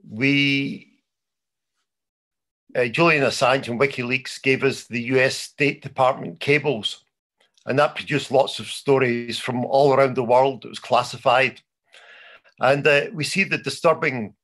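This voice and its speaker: A man's voice: medium pitch, fairly bright tone. Scottish guy talking on a video call, thick accent, lots of ums and restarts. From Scotland. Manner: frequent fillers and restarts, self-deprecating. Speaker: conference speaker, journalist. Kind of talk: conference talk. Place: home in London over video call.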